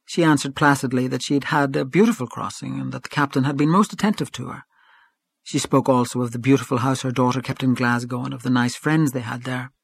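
A male narrator reads literary fiction in a measured, even way, his pitch sitting in a middle register. The recording is close and dry with no room sound. He keeps a calm, steady storytelling pace. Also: creaky, husky, dry recording. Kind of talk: audiobook reading of literary fiction.

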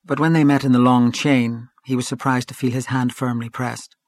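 Measured male audiobook narrator, dry close sound. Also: medium pitch, level tone, no room sound. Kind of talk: audiobook reading of literary fiction.

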